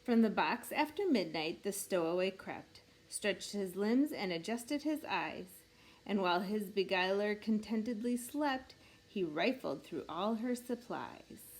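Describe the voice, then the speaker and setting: A woman reading a rhyming picture book aloud in an expressive, measured storytelling way meant for children. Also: medium pitch, poor audio. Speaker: storybook reader.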